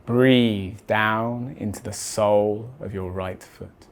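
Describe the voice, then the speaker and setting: A man leading a guided body scan meditation in a measured, deliberate way, letting his phrase endings fall soft. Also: fairly low pitch, fairly even intonation.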